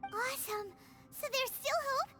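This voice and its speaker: Game fairy sidekick: extremely high, squeaky, childlike, anxious and whiny, slow delivery over loud music. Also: female voice, distant mic. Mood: hopeful. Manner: refers to self in third person, whiny. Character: small childlike fairy companion, anxious and excitable. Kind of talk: video game voice acting.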